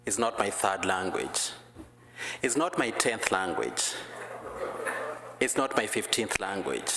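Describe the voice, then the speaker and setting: Guest preacher, man, non-native English speaker, low voice, slow deliberate delivery, church echo. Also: sharp tone. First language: not English. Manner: slow, deliberate, careful phrasing. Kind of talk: prayer and sermon. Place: church sanctuary. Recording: echoey church hall.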